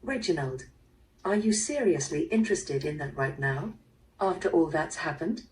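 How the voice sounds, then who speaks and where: An actress playing an impatient, haughty daughter of a wealthy family in a mystery audio drama, proper and disapproving. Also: female voice, deep voice, animated, moderate pace. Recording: distant, played through a small speaker.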